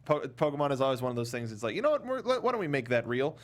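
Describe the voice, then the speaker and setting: A man, fairly young, hosting a casual movie review panel in an animated, jokey way and often stumbling over his words. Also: medium pitch, rapid-fire. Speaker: talk show host.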